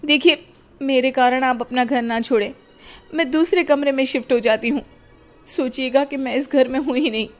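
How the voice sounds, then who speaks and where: A woman's voice: high voice, moderate pace, faint music behind, pitched above the performer's own voice, close to an everyday voice. A woman voicing a dutiful, self-effacing young daughter-in-law in a cartoon story, speaking politely and deferentially. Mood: pleading.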